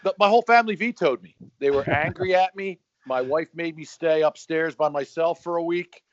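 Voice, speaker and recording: A middle-aged man co-hosting a podcast, telling stories in a lively, joking, casual way. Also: fairly high pitch, a little fast, dry recording. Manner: animated storyteller, joking. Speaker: podcast co-host. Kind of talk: casual chat. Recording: dry, slightly dull sound.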